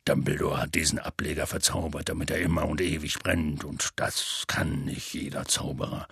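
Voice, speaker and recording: Guy doing a big gruff half-giant, super low and gravelly, husky, rambles on like a folksy storyteller. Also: little pitch movement, moderate pace, a bit bright, dry recording, studio quality, exaggerated voice. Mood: telling tale. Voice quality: deep gravelly rumble. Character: huge gruff good-natured male half-giant. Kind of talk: audiobook character voice.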